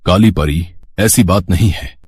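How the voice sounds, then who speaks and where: A humble king and anxious father from a storybook, acted by a man. The voice is low and husky, the speech earnest and formal. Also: a little slow, darkish tone, no room sound. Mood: apologetic pleading.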